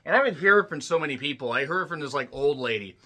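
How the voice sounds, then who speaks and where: A man with a slightly rough, worn voice giving a casual spoken movie review, blunt and full of swearing, clearing his throat often. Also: a little high, animated, quick pace, bright, forceful tone. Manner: blunt, profane ranting with frequent throat clearing. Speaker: film reviewer.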